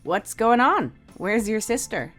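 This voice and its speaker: A woman's voice: on the high side, sing-song, unhurried, a bit bright, soft background music, voice pushed up, exaggerated voice. A woman voicing a confident, friendly teenage boy in an audio story, with a casual, teasing manner. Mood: casual, pleading.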